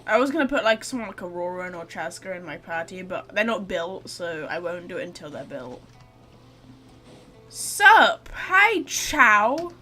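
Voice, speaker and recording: A woman's voice: lowish voice, big pitch swings, measured pace, bright, forceful tone, soft background music. A woman doing casual livestream game commentary, blunt, joking and given to swearing. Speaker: game streamer.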